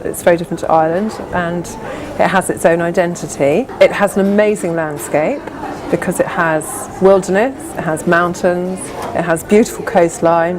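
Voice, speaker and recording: A woman's voice: on the low side, background noise. A woman interviewee speaking enthusiastically, listing what she loves.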